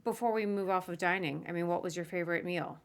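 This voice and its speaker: A woman's voice: fairly low pitch, quick pace. A woman hosting a casual conversational podcast interview, chatty and informal, often slipping in asides. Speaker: podcast host interviewing a guest.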